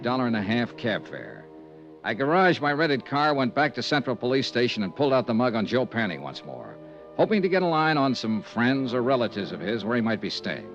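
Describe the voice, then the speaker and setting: A wry, hard-boiled insurance investigator narrating and talking in a dry, clipped way in an old radio drama. His voice moves up and down a lot. The sound is dull and muffled, with faint music behind. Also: medium pitch. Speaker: narrator and lead.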